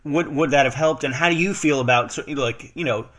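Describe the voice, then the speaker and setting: A man's voice: on the high side, a little fast, fairly bright tone. A man hosting an interview podcast, speaking in rambling, filler-heavy sentences. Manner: rambling, heavy use of fillers like "you know" and "uh". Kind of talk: casual interview chat.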